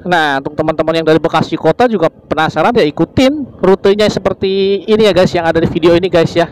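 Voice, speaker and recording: High-voiced chatty motorbike vlogger man. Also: fast talker, a little hiss or hum, rough recording. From Indonesia. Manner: chatty, says "guys" constantly, wondering aloud. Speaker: motovlogger. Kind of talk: casual ride-along narration. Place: riding a motorbike on village roads outdoors.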